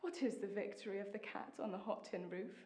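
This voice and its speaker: A woman with a British accent acting a lonely, bitter, longing young wife in a stage monologue, intense and deliberate, with dramatic pauses. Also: mid-range voice, fairly even intonation, warm tone, lightly acted. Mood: determined. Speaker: acting student. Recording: echoey room.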